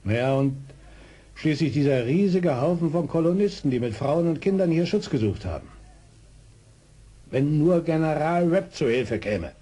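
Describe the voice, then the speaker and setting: Gruff, husky army colonel. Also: male voice, on the high side, animated, warm tone. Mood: grave, worried. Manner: formal, measured, military. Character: beleaguered British fort colonel, gruff proud father. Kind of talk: 1970s German audio drama acting.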